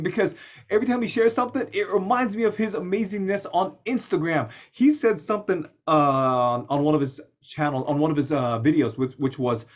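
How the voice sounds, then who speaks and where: A man hosting an interview show, enthusiastic, slowing down to stress his points. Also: highs cut off. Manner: enthusiastic, slows down for emphasis, lively pitch jumps. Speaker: host.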